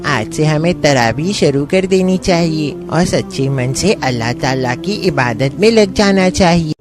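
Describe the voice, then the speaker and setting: A woman's voice: deep voice, lively intonation, a little slow. Woman playing an earnest, devoted child, music behind. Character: devoted, devout child in a moral story.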